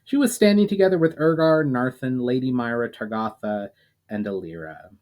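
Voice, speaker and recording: A man narrating a fantasy novel chapter in a steady reading voice. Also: mid-range voice, normal speed. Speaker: storyteller.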